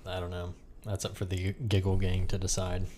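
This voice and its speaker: Deep-voiced sarcastic man hosting a podcast. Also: completely flat delivery, brisk, warm tone, slight background noise. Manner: sarcastic, joking. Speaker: podcast host. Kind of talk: casual podcast chat. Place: room with another person.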